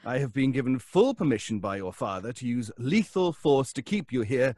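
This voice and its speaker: A cold over-polite threatening lawyer, dry close sound, lots of pitch movement, horror audio play. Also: male voice, big pitch swings, moderate pace, darkish tone, dry recording. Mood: menacing. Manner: formal, stiff, insistent, over-polite. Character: menacing, coldly polite male lawyer enforcing a will. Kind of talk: audio horror drama acting. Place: indoors, on a video call.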